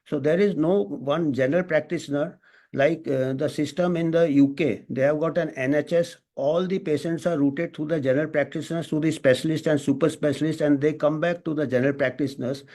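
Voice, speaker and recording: A man with an Indian accent giving extemporaneous panel remarks as a doctor, halting and thinking aloud with frequent false starts. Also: on the high side, fairly even intonation, mellow.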